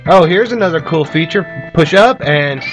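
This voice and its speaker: A man's voice: on the high side, expressive, moderate pace. A man giving casual, sweary gameplay commentary, chatting to viewers as folks in an informal way. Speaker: gaming video host. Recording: amateur camera filming a TV screen. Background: video game music.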